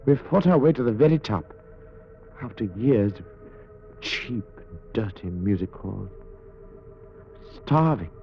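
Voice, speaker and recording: Fretful, unhinged British ventriloquist, animated delivery. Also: male voice, lowish voice, a little slow, pauses often, faint music behind. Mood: obsessive, aggrieved. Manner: theatrical, fretful, overwrought. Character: unhinged, possessive male ventriloquist obsessed with his dummy. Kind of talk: 1940s old-time radio acting. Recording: muffled, noisy vintage radio recording.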